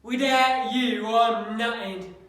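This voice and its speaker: Man acting a high-voiced, chatty, sly storyteller, echoey room. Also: steady tone, takes it slowly, fairly bright tone, exaggerated voice. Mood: animated storytelling. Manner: colloquial, emphatic, needling storytelling. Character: Patsy, chatty, sly working-class man telling stories. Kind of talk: dramatic audition monologue. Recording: very echoey room, slightly distant mic.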